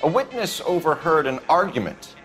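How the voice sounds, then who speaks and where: A man's voice: a little high, sing-song, normal speed, music in the background. An American man acting a brusque, driven young police detective in a video game, questioning with clipped authority. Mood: probing.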